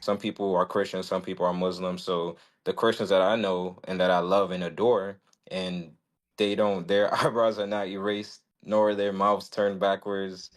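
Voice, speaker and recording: Calm, low-voiced man from West Africa. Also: moderate pace. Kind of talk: casual debate call.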